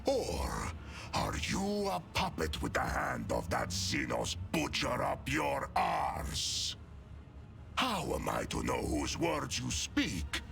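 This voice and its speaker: An actor playing a savage, cunning giant warrior prisoner in a video game, with a growling voice and a mocking, hostile manner. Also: male voice, huge pitch swings, a bit bright, faint music behind, exaggerated voice. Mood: suspicious, challenging. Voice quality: gravelly, growling. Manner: slow, menacing, swinging from low growl to roar. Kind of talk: dramatic video game voice acting.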